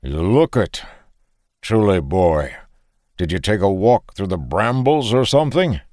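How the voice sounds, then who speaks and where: A man voicing a stout, middle-aged male farmer who talks in a deep, brusque and condescending way. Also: expressive, measured pace, no echo, clean studio sound. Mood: gruff. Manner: brusque, loud, fatherly.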